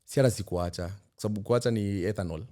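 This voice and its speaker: Fast-talking Kenyan man in his thirties. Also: on the low side. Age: 30s. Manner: animated, laughs often, repeats himself when excited. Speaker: podcast host. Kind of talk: casual chat mixing English and Swahili.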